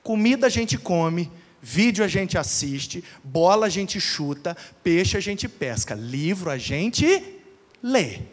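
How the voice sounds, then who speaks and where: A Brazilian man preaching as a pastor, colloquial and emphatic, with rhetorical pauses and dramatic storytelling. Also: high voice, big pitch swings. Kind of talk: animated sermon with dramatic storytelling. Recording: long hall reverb.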